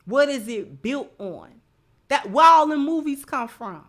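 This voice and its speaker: A woman's voice: sits high, lively intonation, measured pace. A woman giving opinionated live commentary as a host, emphatic, repeating phrases and posing rhetorical questions. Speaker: livestream host.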